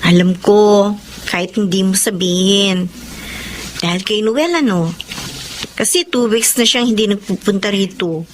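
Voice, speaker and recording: An actress playing a caring friend who coaxes and teases in a radio drama. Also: female voice, mid-range voice, a little slow, long pauses, slight background noise, natural voice. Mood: coaxing. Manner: coaxing, lively. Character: teasing, caring female friend.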